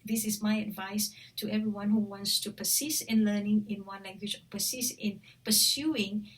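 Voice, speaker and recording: A woman's voice: mid-range voice, steady tone, normal speed, recorded from a distance, low-quality recording. Singaporean woman coaching, slightly off-mic. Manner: chatty, with frequent fillers and restarts. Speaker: language coach. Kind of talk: casual talk to camera.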